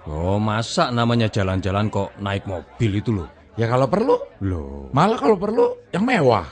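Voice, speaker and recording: A lively, grumbling, cheeky man. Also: on the low side, normal speed. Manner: complaining, jokey. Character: grumbling, cheeky, reluctant working man in a community group. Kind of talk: comic radio drama dialogue.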